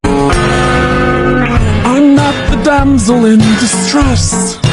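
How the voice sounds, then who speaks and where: A woman sings in a theatrical style. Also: high voice. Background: loud music.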